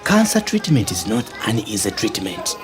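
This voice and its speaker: A man's voice: fairly low pitch, sing-song, moderate pace, over music. A man with a Ugandan accent acting a grave, careful, hesitant doctor in a radio drama. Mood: firm, urgent. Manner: hesitant, explanatory. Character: grave, careful male doctor breaking bad news. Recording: background ambience noise.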